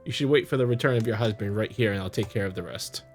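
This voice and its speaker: A man voicing a formal, brisk and reassuring investigator in a measured way, for a visual novel. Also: fairly low pitch, natural voice. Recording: music playing behind.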